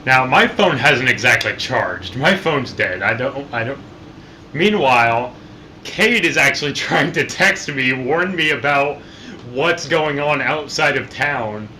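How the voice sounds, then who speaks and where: A man's voice: medium pitch, expressive, unhurried, sharp tone, slight background noise. A man talking in a casual, conversational storytelling way as co-host of a reaction video.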